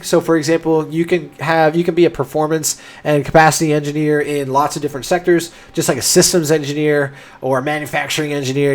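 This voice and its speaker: A young man talks through a live stream in an eager, explanatory way, often restarting his words. His nose is stuffed up from a cold, which makes him sound congested. His voice sits a little on the high side. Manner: enthusiastic, explanatory, frequent restarts. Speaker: livestream host answering chat questions. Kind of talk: casual livestream talk.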